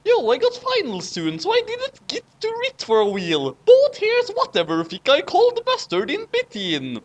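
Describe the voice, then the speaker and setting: A man's voice: very high voice, wildly swooping pitch, normal speed. A man co-hosting a dramatic fanfic reading, theatrical and over-acted, with casual chat in between.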